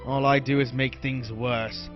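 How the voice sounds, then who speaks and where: A man's voice: medium pitch, level tone, measured pace, natural voice. Muffled, bitter sci-fi hero acted by a man. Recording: muffled clip under clear music.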